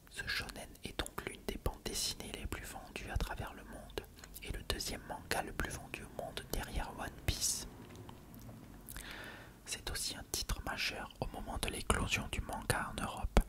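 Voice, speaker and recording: ASMR host, fully whispered, breathy and soft, for hushed encyclopedia-style reading.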